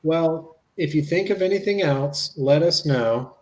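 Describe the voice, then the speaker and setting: A man reading the part of a polite, low-key federal agent in a dramatic reading of a witness interview. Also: measured pace, lightly acted. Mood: curious, polite. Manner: low-key, matter-of-fact.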